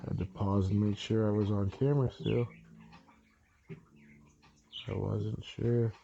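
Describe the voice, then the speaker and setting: A man's voice: fairly low pitch, slow pace, vocal fry, highs cut off, slight background noise. A man with a stuffy, congested voice chatting casually with many ums while he paints a model and explains each step. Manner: relaxed, rambling with many ums. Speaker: miniature painting channel host.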